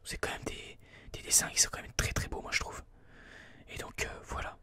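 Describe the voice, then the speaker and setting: A person whispering informal, hesitant gameplay commentary in an ASMR style, thinking aloud close to a binaural microphone. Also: moderate pace. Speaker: video game streamer, ASMR host.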